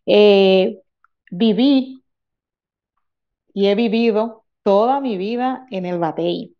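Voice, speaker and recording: Slow-spoken Dominican woman, dull recording. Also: medium pitch. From Dominican Republic. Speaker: guest speaker in a group discussion. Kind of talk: unscripted explanation of lived experience.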